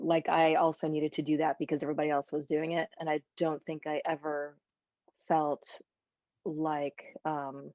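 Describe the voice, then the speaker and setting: A woman co-hosting a podcast in casual, conversational panel chat, recorded with roomy, slightly muffled sound. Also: low voice. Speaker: podcast co-host. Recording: roomy, slightly dull lo-fi audio.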